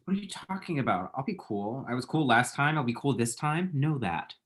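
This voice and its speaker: A man plays a dramatic young man in a romantic comedy script read. He is awkward and sassy, with medium pitch that swings widely. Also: very animated, brisk, a bit bright. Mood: defensive, sassy. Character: flustered, dramatic, lovestruck, bitchy young man. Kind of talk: screenplay table read.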